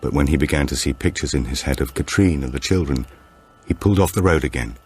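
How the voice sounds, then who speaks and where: Man, extremely deep voice, measured scripted narration, suspense thriller audiobook. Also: a little mellow, slightly rough. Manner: measured, acts out dialogue.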